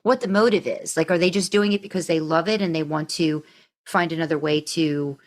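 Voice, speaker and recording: A woman's voice: low voice, a little fast. A woman in casual podcast conversation, explaining things in a tentative, circling way. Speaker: podcast participant. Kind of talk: casual chat.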